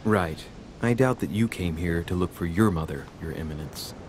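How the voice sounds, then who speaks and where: A man voicing a wry young gentleman in a video game cutscene, dry and sardonic. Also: low voice, normal speed, a little hiss or hum, close to an everyday voice. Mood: guarded, polite. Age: young adult. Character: wry young gentleman searching for his missing mother. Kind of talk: video game cutscene acting and inner monologue.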